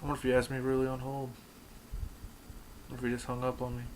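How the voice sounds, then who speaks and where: Casual man with a mid-pitched voice. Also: completely flat delivery, quick pace, a little hiss or hum, low-quality recording. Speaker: video maker calling customer support. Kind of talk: casual chat.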